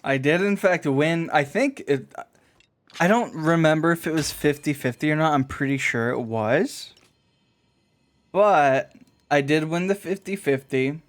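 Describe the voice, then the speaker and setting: A man's voice: fairly high pitch, unhurried. A man livestreaming a game with casual, offhand commentary, slangy and given to swearing. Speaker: game streamer. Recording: game music behind the voice. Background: game music.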